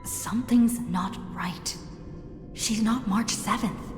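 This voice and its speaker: A woman playing a serious, wary young heroine in a video game, speaking in a guarded, deliberate way. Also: medium pitch, darkish tone, lightly acted. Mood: wary, grave. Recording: echoey long reverb under clear music.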